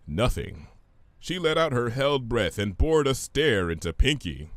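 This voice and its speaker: A man narrating a scripted story in an expressive, theatrical manner. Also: mid-range voice, measured pace, no room sound.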